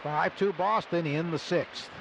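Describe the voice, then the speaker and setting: A man calling a baseball game play by play on live radio in clipped, deliberate phrases. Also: fairly high pitch, gravelly. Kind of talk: live radio sports commentary with sponsor reads. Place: stadium broadcast booth. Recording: noisy live ballpark radio broadcast.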